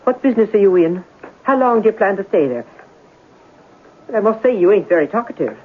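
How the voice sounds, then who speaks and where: A woman's voice: medium pitch, lively intonation, fairly quick, breathy edge. An actress playing a nervous, evasive middle-aged landlady with colloquial, uneducated speech in an old-time radio drama. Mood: brisk, businesslike. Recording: tinny band-limited vintage radio recording.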